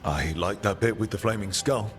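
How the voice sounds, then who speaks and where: An actor playing an easygoing, laconic adventurer in a video game, casual and plain-spoken. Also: male voice, lowish voice, normal speed, faint music behind, lightly acted. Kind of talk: video game character acting.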